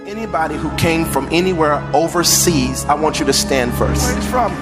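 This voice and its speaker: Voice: mid-range voice, expressive, brisk. Rousing preacher over music. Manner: rousing, repeats each answer back loudly. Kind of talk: calling out to a church crowd.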